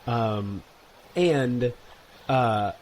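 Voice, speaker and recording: A man's voice: mid-range voice, drawn-out words, a little hiss or hum. Chatty twenty-something man, rambling. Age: 20s. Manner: rambling, animated storytelling with many fillers. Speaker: podcast co-host. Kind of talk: casual chat. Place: New York.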